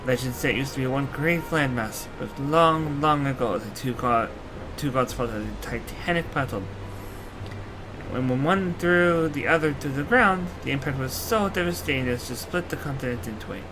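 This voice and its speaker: A man's voice: mid-range voice, lively intonation, normal speed, close to an everyday voice, rough recording. A man voicing a proud, eager young princess from a fantasy game, explaining her homeland earnestly. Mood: explanatory. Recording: game music under the voice.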